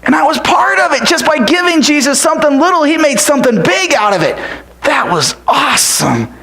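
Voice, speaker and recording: A man's voice: very high-pitched, sing-song, fairly quick, bright, forceful tone, heavily acted. A man voicing an eager, food-loving eight-year-old boy who tells his story breathlessly and whispers when he counts. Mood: excited, wondering. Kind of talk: character monologue storytelling.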